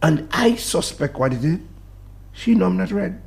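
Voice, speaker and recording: A man with a Dominican accent, a guest on a talk-radio show, speaking in emphatic, animated bursts that drop into low mutters. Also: normal speed, gravelly. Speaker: studio guest. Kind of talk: passionate talk-radio discussion.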